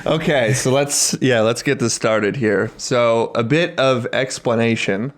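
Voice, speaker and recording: Male podcast co-host: average pitch, casual, halting, sweary. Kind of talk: casual chat.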